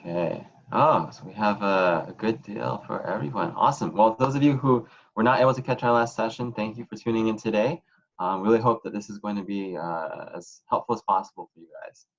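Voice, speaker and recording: Deep-voiced guy running a zoom panel, lots of ums, kinda muffled call audio. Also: a little fast, vocal fry. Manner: relaxed, many "um"s and "uh"s. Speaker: panel moderator. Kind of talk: hosting an online panel. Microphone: video call.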